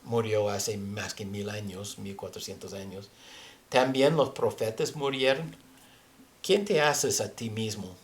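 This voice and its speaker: A man teaches from scripture in a fairly low voice, taking his time over every phrase. He is a native English speaker with an audible foreign accent. His delivery is halting as he moves between reading verses and explaining them. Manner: halting, searching for words. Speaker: religious teacher.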